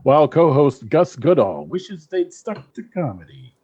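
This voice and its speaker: A man's voice: a little high, big pitch swings, measured pace, warm tone, breathy edge, muffled recording. A man reading scripted narration as the announcer of a comedy radio play.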